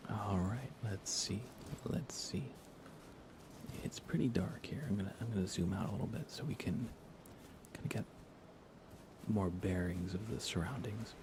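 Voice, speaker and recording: A man speaking in a soft, breathy whisper, giving unhurried, gently playful ASMR commentary while he plays a video game for viewers. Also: sits low, noisy background. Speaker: livestreamer playing a video game.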